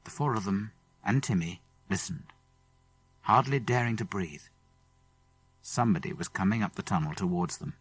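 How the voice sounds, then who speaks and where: A man's voice: fairly low pitch, moderate pace, long pauses, slightly rough, no room sound. A man with a British English accent narrating a children's adventure story dramatically. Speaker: audiobook narrator. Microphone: close studio microphone.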